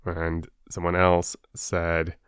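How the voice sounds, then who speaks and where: A man working as a storyteller and host, speaking with measured, deliberate phrasing as if reading to listeners. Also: low voice, monotone, a bit bright, clear, resonant tone, no echo, clean studio sound. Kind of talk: expressive storybook narration and listener address.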